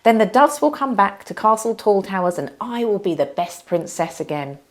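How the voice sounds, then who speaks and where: A woman's voice: medium pitch, expressive, normal speed, natural voice. A woman voicing a plucky young princess in a children's storybook, earnest and exclamatory, given to worry and scheming. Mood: determined.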